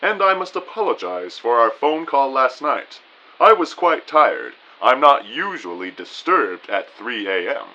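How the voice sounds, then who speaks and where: Male actor as genial, pompous chief in radio play, booming and bright, unhurried, lively intonation, slightly lo-fi. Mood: jovial, hearty. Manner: pompous, genteel, formal phrasing. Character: booming, jovial, courteous male agency boss. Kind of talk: audio drama dialogue.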